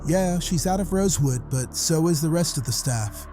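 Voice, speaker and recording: A man's voice: on the high side, lively intonation, moderate pace, dark tone, faint music behind, close to an everyday voice. An actor playing a folksy, wry hospital janitor who calls in and tells his story matter-of-factly in a horror audio drama. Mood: wry, gossipy. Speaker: caller.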